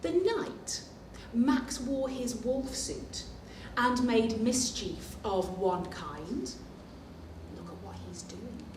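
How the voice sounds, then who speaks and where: A woman reading a children's picture book aloud at story time as a librarian, animated and expressive, pausing for asides and questions to young listeners. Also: a little high. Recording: echoey room, distant mic, faint noise.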